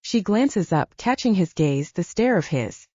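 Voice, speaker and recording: Mellow, animated female audiobook narrator. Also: lowish voice, a little slow, dry recording. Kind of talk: dramatic scripted fiction narration. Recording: dull lo-fi audio, dry.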